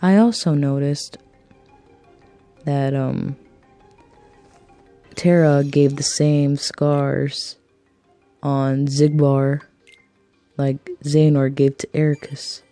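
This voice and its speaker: Casual fan vlogger, woman, raspy and gravelly, hesitant, drifts off topic. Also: a bass voice, warm tone, dry recording. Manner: thinks aloud, hesitant, jumps between topics. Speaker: video game fan vlogger. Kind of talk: rambling casual monologue.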